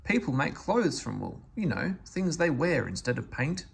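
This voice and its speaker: A man's voice: fairly high pitch, very animated, normal speed, creaky, breathy edge, heavily acted. A man voicing a confident, cheeky steam engine in a storybook, with a breezy, self-assured manner. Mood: breezy, knowing.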